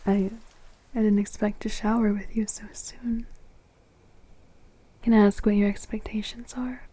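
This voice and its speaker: A woman playing a gentle, flirtatious woman in her forties in an intimate ASMR romance roleplay, speaking softly and unhurriedly in a breathy near-whisper. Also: medium pitch, little pitch movement, lightly acted. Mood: intimate, shy. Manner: soft, tender, hesitant, unhurried flirting.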